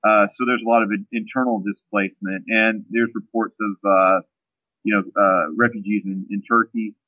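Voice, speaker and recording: Phone-in guest, a man with a deeper voice, talks in halting stops and starts, dry narrow line. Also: steady tone, dry recording. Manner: halting, many fillers and restarts. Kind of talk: casual radio interview talk.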